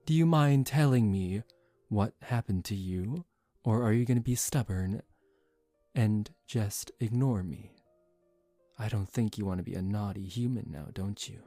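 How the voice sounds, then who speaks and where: A man voicing a suave, condescending young male vampire in a soft-spoken ASMR roleplay, calm and teasing. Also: lowish voice, a little slow, dry recording. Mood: coolly amused, then calm, coaxing. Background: relaxing background music.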